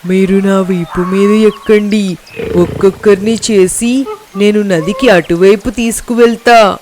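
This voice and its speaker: A clever storybook crocodile, voiced by a woman speaks with calm assurance. The creature sounds helpful and sits at a middle pitch. There is clear noise around the voice. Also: unhurried, close to an everyday voice. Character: helpful clever crocodile offering animals a ride.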